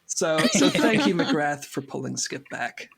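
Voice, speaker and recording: A man chatting casually as an interviewee, haltingly repeating words as he thinks aloud. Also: a little high, lively intonation, creaky, a bit bright. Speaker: cast member interviewee. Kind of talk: casual chat.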